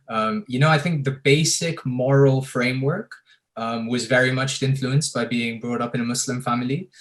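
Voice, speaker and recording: A young man from the Emirates, a filmmaker speaking thoughtfully with many pauses and fillers on a video call panel. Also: mid-range voice. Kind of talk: reflective panel discussion.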